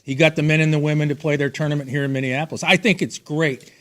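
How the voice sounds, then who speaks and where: A man's voice: fairly high pitch, steady tone, quick pace, fairly bright tone. Opinionated male sports talk host. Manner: opinionated, conversational.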